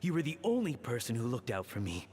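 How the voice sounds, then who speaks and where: A man's voice: medium pitch, wildly swooping pitch, quick pace, a little mellow. An actor playing an earnest, anxious male chef and father in an anime-style game, polite and hesitant. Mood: regretful. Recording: long reverb, faint background noise.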